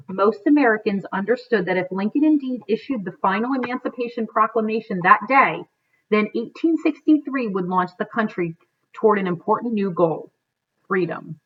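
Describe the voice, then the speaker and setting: A middle-aged woman reading a history book aloud like a teacher, in a careful manner, recorded at home on a computer microphone. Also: medium pitch. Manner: careful reading with stumbles and self-corrections. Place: living room at home, indoors. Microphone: computer webcam microphone at desk distance. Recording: dull, lo-fi webcam sound.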